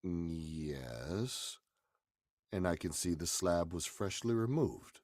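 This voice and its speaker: An actor playing a menacing, commanding murderer in 1940s radio horror style, gravelly and theatrical. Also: male voice, low-pitched, expressive, slow pace, no room sound, clean studio sound, heavily acted. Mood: cold, menacing. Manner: theatrical, forceful. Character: menacing male murderer, commanding, coldly confessing.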